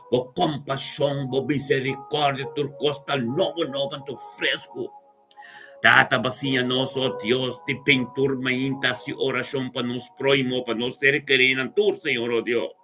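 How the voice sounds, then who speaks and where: High-voiced man praying fervently over music. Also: pushed and bright. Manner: devout, repetitive invocations and exclamations. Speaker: religious worshipper leading prayer.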